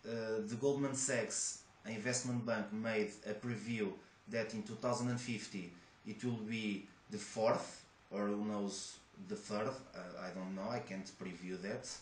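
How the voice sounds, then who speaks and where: Young Portuguese-accented man, low-ish voice, hesitant interview answers, roomy echo. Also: fairly even intonation, unhurried, few pauses. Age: young adult. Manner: hesitant, many restarts and fillers. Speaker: job applicant. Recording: echoey room with faint noise.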